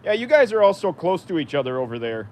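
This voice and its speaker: Casting: American male DIY streamer, voice a little high, off-the-cuff muttered chat while working, light workshop noise. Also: big pitch swings, quick pace. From United States. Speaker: livestream host, DIY woodworker. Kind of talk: casual unscripted livestream chat while working. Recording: noisy workshop, machinery rumble.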